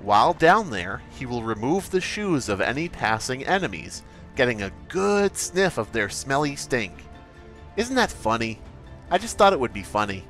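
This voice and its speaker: A man acts out an earnest game developer giving a parody update. He is hesitant and sheepish, oversharing in an awkward, creepy way. His low-to-middle voice moves around in pitch, with faint music underneath. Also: a bit bright.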